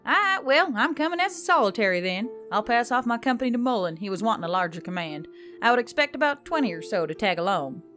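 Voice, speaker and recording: Breezy woman soldier, played by an actress, fairly high voice, very lively intonation, confident, audiobook character, music underneath. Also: quick pace.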